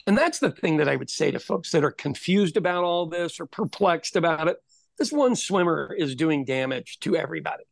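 A middle-aged man who tells stories in casual podcast talk. He drops into the voices of the people he quotes, and he swings his pitch up and down as he goes. His voice is recorded dry and close. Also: a little fast, gravelly, no echo. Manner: animated storyteller, acts out quoted voices. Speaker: podcast host. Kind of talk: casual conversation.

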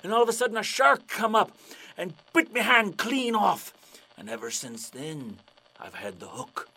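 A man doing a gruff old pirate. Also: high-pitched, very animated, normal speed, sharp tone, pitched above the performer's own voice, heavily acted. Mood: yarn-spinning. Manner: says "arr" and "me" for "my". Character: gruff old male pirate, peg leg and hook. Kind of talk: joke telling in a radio drama.

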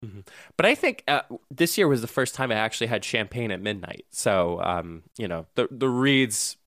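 A man's voice: fairly low pitch, normal speed, dry recording, studio quality. A man co-hosting a casual chat podcast, talking loosely and laughing often. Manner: laughs often, animated and chatty. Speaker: podcast co-host.

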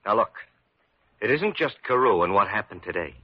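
A proud, bitter young American intern in an old radio drama. He is curt and defiant, with a lively range, on a dull recording. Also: lowish voice, moderate pace.

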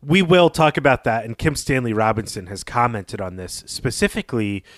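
A man of average pitch discusses fiction as a podcast co-host. His delivery is thoughtful and analytical but stays informal and conversational. He sounds close and completely free of room echo. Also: moderate pace.

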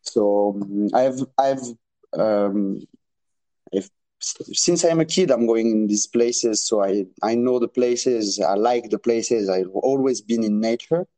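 A man's voice: lowish voice, takes it slowly, warm tone, no room sound, rough recording. A man in his twenties from Congo with a French accent, speaking as a guest on a remote call, hesitant and searching for words with many restarts. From Democratic Republic of Congo. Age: 20s. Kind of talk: casual interview.

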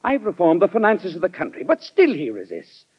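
An actor playing a proud, self-righteous cabinet minister in an old-time radio drama, insistent and accusatory in a clipped delivery. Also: male voice, high-pitched, wildly swooping pitch, brisk, breathy edge, heavily acted. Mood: combative. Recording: muffled vintage radio drama recording.

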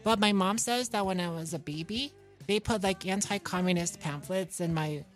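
A woman's voice: on the low side, normal speed, soft background music. A woman from New York chatting casually as an interview guest, in a guileless, self-deprecating, simply worded way. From New York, United States. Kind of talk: casual chat.

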